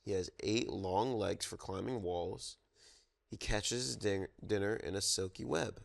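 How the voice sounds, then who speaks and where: A man reads a children's book aloud in a fairly low voice with a lot of fry. He takes it very slowly, pausing to let listeners guess along with him. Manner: interactive, pauses to prompt listeners for guesses. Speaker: storybook reader at a children's story time.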